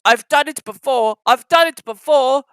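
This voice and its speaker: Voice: high-pitched, moderate pace, a bit bright, smooth, dry recording, voice pushed up. A storyteller voicing a kind, sensible older male steam engine who advises in an earnest way. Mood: encouraging. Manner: advising, puffing when working.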